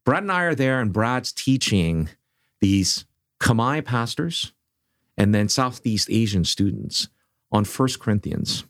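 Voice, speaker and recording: A middle-aged man born in South Korea, leading a seminary, speaking in an earnest, measured way with frequent restarts. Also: on the low side, very animated, dry recording, studio quality. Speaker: seminary leader. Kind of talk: conversational interview.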